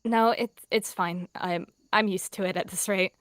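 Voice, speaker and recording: A woman's voice: medium pitch, steady tone, normal speed, fairly bright tone, no room sound, clean studio sound, lightly acted. A woman plays a young tomcat apprentice in an animal roleplay game. He sounds insecure but hopeful, faltering as he speaks, with little room sound. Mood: resigned. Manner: hesitant, faltering.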